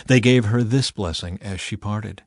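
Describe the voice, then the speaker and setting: A man reading scripture as a scripted audiobook narration, with measured, dramatized storytelling delivery. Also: lowish voice, no echo, studio quality. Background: background music.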